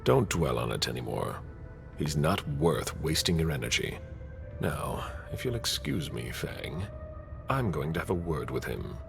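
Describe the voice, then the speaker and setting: Very deep gravelly vampire enforcer. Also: male voice, big pitch swings, soft background music, heavily acted. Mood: stern, protective. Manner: grave, measured, commanding. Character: stern male vampire enforcer, protective, coldly menacing, loyal. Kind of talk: dramatic video game acting.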